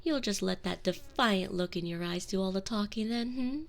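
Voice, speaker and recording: A woman voicing a commanding, possessive female vampire mistress in a binaural ASMR audio roleplay, speaking slowly and domineeringly, often whispering close to the ear. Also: medium pitch, gravelly, natural voice. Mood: stern, scolding. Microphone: binaural microphone.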